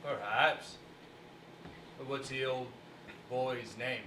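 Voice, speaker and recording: An actor playing a troubled, suspicious husband in a stage musical, speaking in a subdued, terse way. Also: male voice, mid-range voice, fairly even intonation, slow pace, background noise, close to an everyday voice. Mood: brooding, suspicious. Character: troubled, suspicious husband brooding over his wife's betrayal. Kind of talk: stage musical acting and singing. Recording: roomy, distant theatre stage recording.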